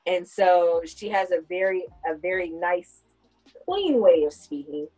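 A woman's voice: on the low side, lively intonation, measured pace, warm tone, poor audio. A woman in her thirties hosting a podcast, talking things through in a reflective, conversational way. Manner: reflective, explains at length. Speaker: podcast host. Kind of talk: casual conversational chat.

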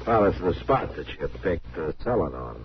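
Frontier lawman, very low register, stern, fair, measured delivery; 1950s radio western, narrow noisy sound. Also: very animated. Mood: measured, explaining. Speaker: lead actor in a radio western. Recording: noisy, band-limited 1950s radio recording.

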